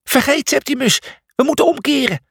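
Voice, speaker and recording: A panicky little animal sidekick from a children's storybook, as a man puts him on. His voice leaps far up high and shrieks with fretful urgency, husky at the edges. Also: sing-song, drawn-out words, a bit bright, dry recording, clean studio sound, heavily acted. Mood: panicked. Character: frantic, fretful small male hoofed animal sidekick.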